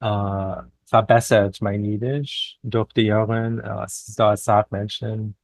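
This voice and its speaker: A man with a lowish voice talks very slowly as an interview guest. He is a non-native speaker, reflective and deliberate, pausing between short phrases. The sound is dry and free of room echo. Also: flat delivery, vocal fry. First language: not a native speaker of Yiddish. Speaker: guest, poet and author.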